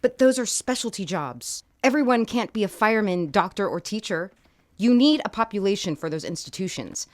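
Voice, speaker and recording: Actress as a sharp-tongued, animated executive woman. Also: mid-range voice, normal speed, no echo, natural voice. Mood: angry. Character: sharp-tongued, defiant female company vice president.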